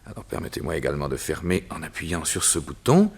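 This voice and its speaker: A deep-voiced vengeful doctor. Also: male voice, big pitch swings, fairly quick, gravelly, noisy background, exaggerated voice. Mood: menacing. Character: cold vengeful doctor villain, mocking. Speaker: radio drama actor. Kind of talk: 1960s French radio drama acting.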